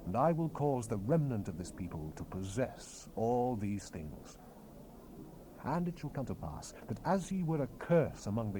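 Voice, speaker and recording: Man voicing a solemn, wide-ranging prophet on hissy tape. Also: mid-range voice. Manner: grave, declamatory, emphatic phrasing. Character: solemn, commanding male Hebrew prophet relaying God's word. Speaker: scripture narrator. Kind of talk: dramatized Bible reading. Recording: hissy unprocessed cassette tape rip.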